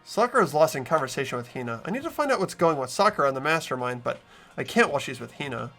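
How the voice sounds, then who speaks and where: A man hosting a game playthrough, switching between casual commentary and expressive reading of the narration. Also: a little high, fast talker, soft background music.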